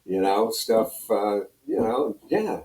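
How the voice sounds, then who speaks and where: An older American man with a low, mellow voice reminisces as a guest in a casual interview. He wanders through his anecdotes, and the sound is roomy. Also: sing-song, slow. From Pennsylvania, United States. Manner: rambling, anecdotal storytelling. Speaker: guest, retired radio personality. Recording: roomy echo, distant from mic.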